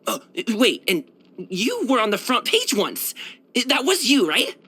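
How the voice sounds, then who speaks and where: A man's voice: very high-pitched, huge pitch swings, a little slow, pushed and bright, husky, heavily acted. A man voicing a brash, cheerful young martial arts dancer and guard in a video game, chatting casually in a slangy, boyish, self-assured way. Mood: chatty, curious. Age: young adult. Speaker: video game character voice actor. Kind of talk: casual, conversational game voice lines.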